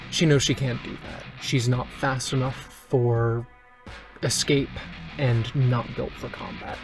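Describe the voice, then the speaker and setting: A man's voice: mid-range voice, rough recording. Earnest man roleplaying over guitar music. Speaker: player in a tabletop game. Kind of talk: tabletop roleplay, narrating own character.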